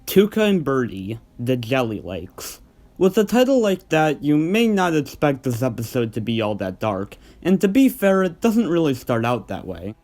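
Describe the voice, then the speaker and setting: A man's voice: mid-range voice, very animated, normal speed, a little mellow. A man hosting a video countdown, giving scripted review commentary in an informal, earnest way and stressing key words. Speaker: host of a video list of cartoon episodes.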